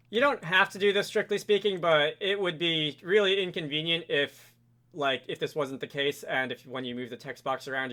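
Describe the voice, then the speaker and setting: A man's voice: fairly high pitch, sharp tone. A man presenting a casual, conversational programming tutorial, explaining things step by step in an informal way. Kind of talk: casual screen-recorded coding tutorial.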